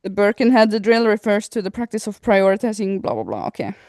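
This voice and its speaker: A woman co-hosting a podcast in a casual, informal way. Her voice sits at a middling pitch and the recording is dry, with very little room sound. She talks loosely, adding asides as she goes. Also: very animated, brisk, darkish tone, dry recording. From Norway. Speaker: podcast co-host. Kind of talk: casual chat.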